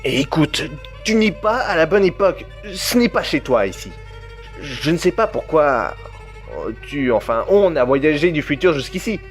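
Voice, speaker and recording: A man's voice: on the high side, big pitch swings, normal speed, faint music behind. A man playing an alarmed, protective husband in an audio drama, protesting hesitantly and stumbling over his words. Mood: firm, exasperated. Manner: hesitant, stammering, tense protests. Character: alarmed, protective young husband facing an intruding double. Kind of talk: amateur audio drama acting.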